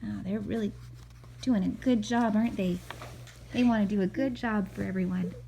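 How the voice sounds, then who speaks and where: A woman's voice: mid-range voice, fairly even intonation, moderate pace, creaky, mellow, a little hiss or hum. A woman reading a picture book aloud to a child as a mother, expressively, with chatty asides and questions.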